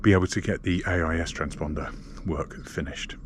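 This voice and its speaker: A man's voice: low voice, a little fast. A man talking casually to viewers as a vlogger, in an informal, chatty narration. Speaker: sailing vlogger. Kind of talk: casual vlog talk to camera. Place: aboard a sailboat in a marina. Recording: clear background ambient noise.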